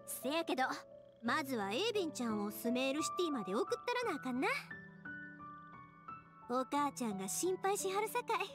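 A woman playing a cheerful, kind-hearted young woman in an anime game, with a Kansai accent and an expressive, informal manner. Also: high-pitched, fairly quick, long pauses, music in the background. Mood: earnest. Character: cheerful, kind-hearted young woman, big-sisterly fireworks-maker type.

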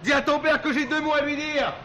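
A man's voice: extremely high pitch, fairly even intonation, a little fast. An actor playing a bitter, threatening young male ex-convict in a French radio drama, curt and slangy. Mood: menacing. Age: young adult. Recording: noisy outdoor background.

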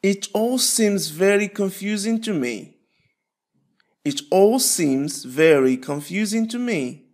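A man teaching English, repeating short phrases slowly and switching to quick explanations. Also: high voice, expressive, a little mellow. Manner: repeats phrases slowly, stretching words apart; explains rapidly between drills. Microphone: voice-over.

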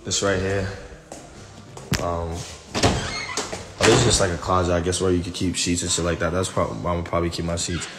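Excitable young man on a phone livestream. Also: low voice, moderate pace, pauses often, very noisy. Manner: excitable, slangy, swears a lot, hypes things up. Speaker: livestreamer giving a house tour. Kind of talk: casual livestream chat. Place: large empty house indoors, walking between rooms. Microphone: phone held at arm's length.

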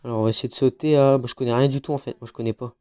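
A congested gamer with a French accent and a mellow, dark-toned voice talks his way through a mobile shooter match. His delivery is chatty and loose, with frequent fillers and restarts, the voice thick from a cold. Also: medium pitch, fairly even intonation, racing, clean tone, highs cut off. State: has a head cold, congested. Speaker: gaming YouTuber. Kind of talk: casual mobile game commentary.

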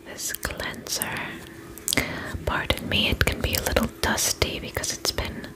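A young woman playing a gentle vampire spa attendant in a soothing, breathy ASMR whisper, slow and polite, close to the microphone. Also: natural voice, rough recording. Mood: soothing, attentive. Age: young adult.

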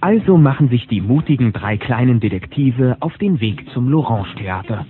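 A man's voice: mid-range voice, normal speed, narrow, tinny audio, natural voice. A man narrating a children's audio drama as a storyteller, speaking to the listeners from a script. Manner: animated storyteller addressing listeners. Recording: band-limited old cassette with music.

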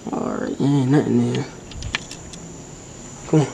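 A young man vlogging with a handheld camera as he explores a dark abandoned building at night, talking in loose slang and dropping to whispers. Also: medium pitch, slow, vocal fry. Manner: slangy, excitable, often hushed to a whisper. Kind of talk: casual handheld vlog. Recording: noisy camera audio.

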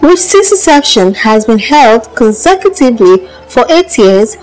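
A woman's voice: a little high, big pitch swings, unhurried, rough recording. A woman reads scripted documentary narration as a voice-over. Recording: voice-over with music behind.

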